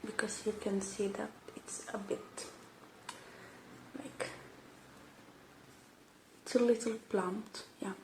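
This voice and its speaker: A woman doing a soft ASMR roleplay, murmuring and whispering step by step in a hushed, hesitant way. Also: mid-range voice, steady tone, slow, long pauses, reverberant, a little hiss or hum, poor audio. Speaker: ASMR roleplay creator playing a robot repair technician.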